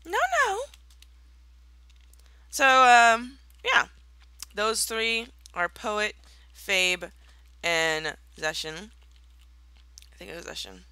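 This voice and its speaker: A young woman with a very bright, sharp-edged voice, chatting through her block-building game with playful, mock-villainous theatrics. She sounds a touch raspy, like she has just rolled out of bed. The sound is close and dry, with no room around it. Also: medium pitch, lively intonation, extremely slow, pauses often, no room sound. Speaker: Minecraft let's player. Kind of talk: casual gameplay commentary.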